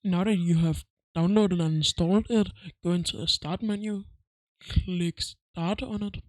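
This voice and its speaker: A man narrating a casual step-by-step software tutorial with plain, simply worded instructions. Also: high voice, fairly even intonation, measured pace, no echo. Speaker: tutorial host.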